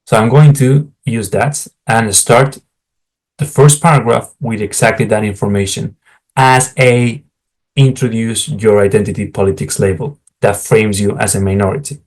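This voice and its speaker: A man's voice: fairly low pitch, fairly even intonation, normal speed, darkish tone. Spanish-accented man giving frank career advice. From Spain. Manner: frank, lecturing, asides to viewers. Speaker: academic career advisor. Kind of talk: explanatory talk to camera.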